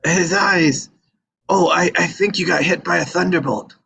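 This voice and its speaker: A man playing a brash, eager young Sicilian bodyguard with a raspy voice in a live script table read. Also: sits high, unhurried, pushed and bright, full character voice. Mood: smitten. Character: excitable young Sicilian bodyguard, brash, pleading and star-struck by America.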